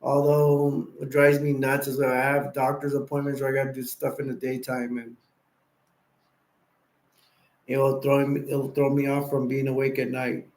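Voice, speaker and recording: A tired, sleepy man hosting a casual late-night live stream, rambling and giving viewers shout-outs. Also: medium pitch, monotone, moderate pace. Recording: echoey room.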